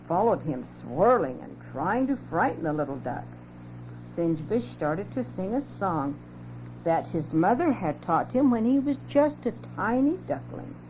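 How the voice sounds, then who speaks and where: An older woman expressively reading a children's story aloud as a narrator. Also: mid-range voice. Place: home tape recording. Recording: lo-fi muffled home cassette, loud hum.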